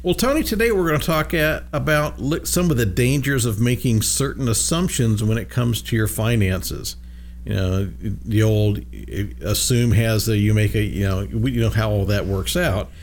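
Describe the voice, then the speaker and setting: A man's voice: on the low side, moderate pace, a little hiss or hum. A man hosting a financial radio show from a studio, chatting casually with many fillers and restarts. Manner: easygoing, frequent fillers and restarts.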